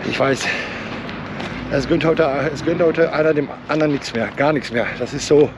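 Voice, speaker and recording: A man in his forties, hoarse from a cold, chatting casually in a rambling way with many fillers while he drives his truck, filmed by a camera in the cab. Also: fairly high pitch, moderate pace. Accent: Ruhr German. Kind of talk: casual vlog chat. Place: truck cab and outdoor yards. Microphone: action camera on dashboard or held at arm's length. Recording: loud vehicle and outdoor noise.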